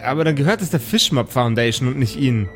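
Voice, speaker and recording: A man's voice: medium pitch, lively intonation, fast, a bit bright, soft background music. A man voicing a panicky, easily horrified woman who stammers and exclaims in an improvised tabletop audio play. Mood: startled, suspicious.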